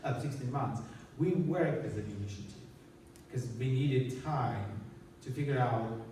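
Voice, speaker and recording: A man's voice: mid-range voice, a little mellow, a little hiss or hum. A man from Armenia, giving an earnest, explanatory conference talk with slides and many restarts. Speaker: conference speaker. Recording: echoey hall, distant mic.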